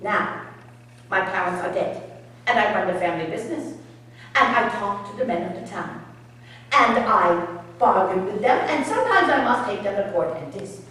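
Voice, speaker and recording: A woman's voice: mid-range voice, lively intonation, normal speed, fairly bright tone, voice pushed down, natural voice. Woman playing Judith Varlett, scornful Dutch colonist. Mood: defiant. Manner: scornful, indignant, clipped non-native grammar. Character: defiant Dutch woman colonist accused of witchcraft. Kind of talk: storytelling performance in character. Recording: echoey room, distant mic, faint hum.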